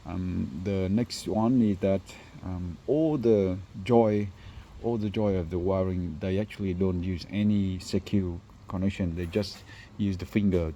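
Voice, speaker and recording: A man with a deep, mellow voice talks through a workshop job for a video. His English is non-native and comes haltingly, in an informal explaining style. Light noise sits behind him. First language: not English. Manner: halting, hesitant phrasing. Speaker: car installer presenting finished work.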